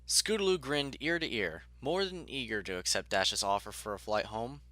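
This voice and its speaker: A man's voice: medium pitch, lively intonation, moderate pace, sharp tone, dry recording, soft background music. A man narrating a scripted story as its narrator.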